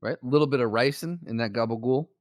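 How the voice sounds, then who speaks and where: A man's voice: medium pitch, fast talker, dry recording, clean studio sound. A man co-hosting a podcast, chatting casually, swearing freely and joking as he argues a point. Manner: profane, animated, joking. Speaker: podcast co-host. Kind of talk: casual chat.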